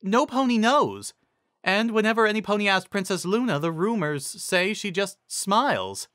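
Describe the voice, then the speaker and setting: A man voicing a bright, inquisitive female earth pony who explains things. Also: sits high, lively intonation, moderate pace, dry recording, studio quality, pitched above the performer's own voice. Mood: explaining.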